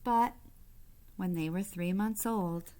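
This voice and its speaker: A woman reads a picture book aloud for young children. Her pace is very unhurried and her pitch sits in the middle for a woman. She phrases expressively, with teacher-like asides. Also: slight background noise. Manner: deliberate, expressive phrasing, pausing to comment to young listeners. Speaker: read-aloud storyteller, teacher-like.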